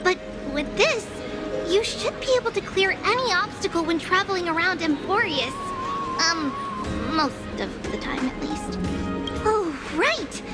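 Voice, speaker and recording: A woman's voice: very high voice, animated, unhurried. A woman voicing a cheerful, helpful little girl priestess in a video game, chatty and playful. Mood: warm, chatty, then sheepish. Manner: bubbly, exclaiming, speaks of herself as we. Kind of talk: video game character dialogue. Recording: game music under the voice.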